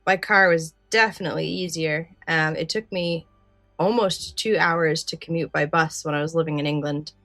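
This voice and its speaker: A woman's voice: low voice, moderate pace, a bit bright, no echo, soft background music. A woman chatting casually as a podcast co-host. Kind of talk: casual chat.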